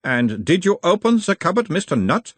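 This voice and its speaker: A man's voice: sits high, very animated, moderate pace, no echo, pitched above the performer's own voice. A man voicing an insistent analyst persona in an audiobook, formal and clinical. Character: insistent, probing male analyst persona of a hypnotised creature.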